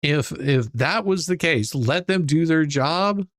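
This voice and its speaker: A man's voice: a little high, animated, slow, a bit bright, no room sound, studio quality. A man hosting his channel, reacting in casual commentary and rambling as he repeats words while he thinks. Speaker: channel host reacting to a stream.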